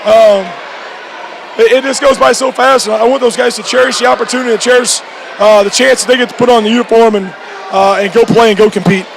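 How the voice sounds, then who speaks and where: High-pitched, gravelly male coach, crowd noise behind. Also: steady tone. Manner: repetitive, halting restarts mid-phrase. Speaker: high school basketball coach. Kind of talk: postgame radio interview. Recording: noisy gym with loud crowd behind. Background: crowd and clear background noise.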